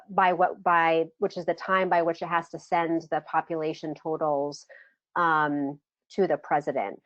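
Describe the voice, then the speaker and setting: A woman with a slightly low voice answers as an expert in a webinar Q&A. She builds long explanatory sentences carefully and with some hesitation. The sound is very dry but dulled, like a lo-fi web call. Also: dry recording. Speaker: guest expert presenting a census webinar. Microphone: web conference call. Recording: muffled, lo-fi web call audio.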